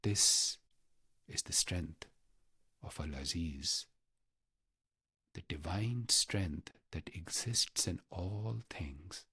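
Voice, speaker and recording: A man guiding a meditation in a measured, reverent manner, pausing deliberately between short phrases. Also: deep voice, lively intonation, pushed and bright, husky, no echo, studio quality. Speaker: meditation guide. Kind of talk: guided meditation narration.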